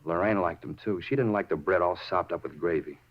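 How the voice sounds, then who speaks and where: An actor playing an unhinged, self-justifying murder suspect in a 1950s radio drama, rambling over small details and repeating himself. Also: male voice, sits low, fairly quick. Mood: dreamy, insistent. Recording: muffled 1950s radio recording.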